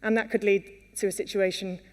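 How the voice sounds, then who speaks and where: A woman's voice: medium pitch, little pitch movement, a little fast. A Welsh woman giving an earnest conference talk, with many fillers and restarts. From Wales. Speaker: conference speaker. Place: conference hall. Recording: long hall reverb.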